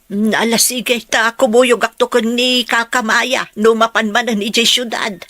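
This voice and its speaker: A woman's voice: a little high, fairly even intonation, a little slow, pushed and bright, dry recording, studio quality. A woman acting a worried, practical mother in an Ilocano radio drama. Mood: insistent.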